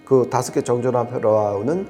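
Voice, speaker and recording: A man's voice: medium pitch, a little fast, warm tone, faint music behind. A man explaining products conversationally as an audio reviewer, with an emphatic manner.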